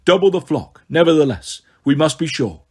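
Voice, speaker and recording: A man's voice: a little high, expressive, normal speed, slightly rough. An undead tyrant king out of a fantasy audiobook, acted by a male narrator. He commands and scolds with imperious menace, as if every listener were a servant. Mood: scheming, commanding. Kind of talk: audiobook character voice.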